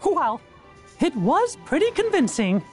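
An actor playing a small, earnest, courteous male cartoon mouse in a video game, in squeaky falsetto. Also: wildly swooping pitch, measured pace, faint music behind, full character voice. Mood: earnest, polite.

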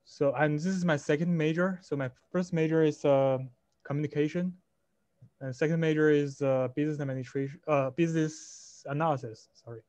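A man's voice: fairly high pitch, steady tone, fairly quick, a little mellow, no room sound. A young man from China introducing himself as a student over a video call, correcting himself as he goes. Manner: loose, self-correcting, many fillers. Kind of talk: self-introduction. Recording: dull dry video-call audio.

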